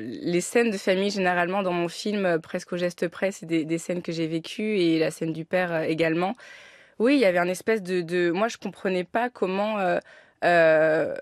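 A woman's voice: on the low side, no echo. A woman in her thirties, a film director guest on a radio interview, talking with many restarts and fillers into a studio mic. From France. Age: 30s. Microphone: studio mic on arm.

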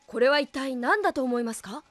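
A woman's voice: sits high, lively intonation, brisk, a bit bright, no echo. A woman voicing a heroic young robot boy in an anime, earnest and brave. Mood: concerned, polite. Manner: earnest, polite to his elder. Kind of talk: anime voice acting. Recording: music behind the voice.

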